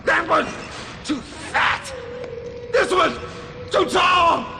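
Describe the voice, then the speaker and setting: A mad perfectionist surgeon in a game. He rants theatrically in an extremely high shriek, dragging his words out. Heavy noise surrounds him. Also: expressive, extremely slow, creaky, exaggerated voice. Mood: frantic, anguished. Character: deranged perfectionist surgeon, ranting and cackling. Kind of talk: over-the-top video game villain acting. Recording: very noisy, loud ambience.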